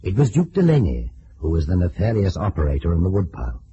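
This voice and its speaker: An actor playing a measured, courteous investigator in an old-time detective radio drama. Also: male voice, sits low, huge pitch swings. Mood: explanatory. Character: measured, courteous male investigator narrating a case. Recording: dull, slightly noisy vintage radio recording.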